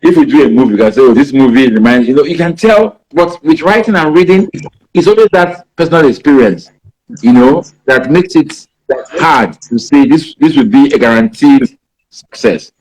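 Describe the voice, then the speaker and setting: Nigerian writer in his 50s, Igbo first language, easygoing talker who loops back and restarts, mid-pitched voice. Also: moderate pace, darkish tone, poor audio. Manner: rambling, many "you know" fillers and restarts. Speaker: writer being interviewed. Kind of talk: casual interview answers.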